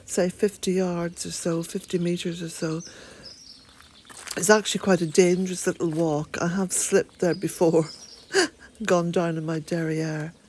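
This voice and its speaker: An Irish woman narrating a gentle garden vlog in a soft, reflective way, sometimes dropping almost to a whisper. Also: lowish voice, flat delivery, measured pace, a little hiss or hum. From Ireland. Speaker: vlogger. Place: outdoors in a woodland garden, birds nearby.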